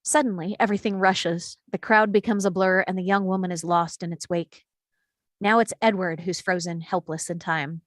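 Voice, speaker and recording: A woman reading the action lines of a screenplay at a table read, in a steady, descriptive way. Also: on the low side, normal speed, dry recording, studio quality. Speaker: reader of stage directions.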